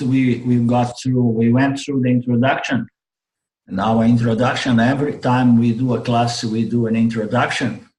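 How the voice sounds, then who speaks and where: Male teacher, video-call lecture, hoarse and throat-clearing, slow halting delivery, echoey room. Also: medium pitch, a little mellow. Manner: halting, restarts phrases, repeats words while explaining. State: strained voice, clears throat often. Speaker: Vedanta teacher. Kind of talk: online class lecture. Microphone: computer mic on a video call.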